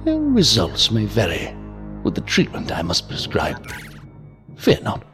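A man's voice: on the low side, wildly swooping pitch, unhurried, over music. A man playing a sinister, courtly, inhuman villain in an audio drama, verbose and mockingly polite in a mannered, theatrical way. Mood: quietly menacing. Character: sinister, courtly, unhurried villain, inhuman interrogator posing as a gentleman. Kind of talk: science fiction audio drama acting.

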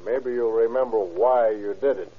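An actor playing a tough, sharp-tongued American police detective with a clipped, accusing manner in an old-time radio crime drama. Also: male voice, mid-range voice, moderate pace, lightly acted. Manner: hard-boiled, accusing, clipped. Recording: thin, tinny old radio recording.

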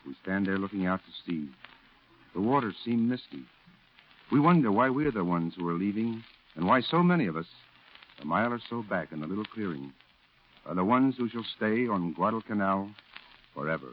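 An actor playing a solemn war correspondent, narrating a 1940s radio drama in a grave, declamatory way. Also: male voice, lowish voice, very animated, moderate pace. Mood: somber. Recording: dull old radio recording with music.

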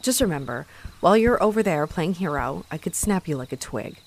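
An actress voices a haughty female vampire in a roleplay audio drama. Her delivery swings animatedly up and down, dripping with sarcasm and theatrical mockery. A clearly audible layer of noise sits behind the voice. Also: on the low side, animated, fairly quick. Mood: haughty, menacing. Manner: mocking, sarcastic, theatrical, swearing. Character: snarky, haughty female vampire, flustered by her hunter rival.

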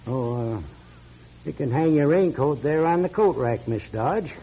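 An actor playing a polite, fussy lawyer in a classic radio drama, speaking formally and hesitantly. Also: male voice, a little high, lively intonation, a little slow, mellow, slight background noise. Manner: formal, hesitant, throat-clearing. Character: polite, fussy male lawyer reading a will. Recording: dull lo-fi radio recording.

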